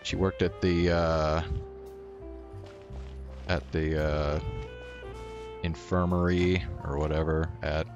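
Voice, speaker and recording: A game streamer giving casual, hesitant commentary on a playthrough, with drawn-out reactions. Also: very deep voice, flat delivery, dull audio. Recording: game music behind the voice. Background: game music.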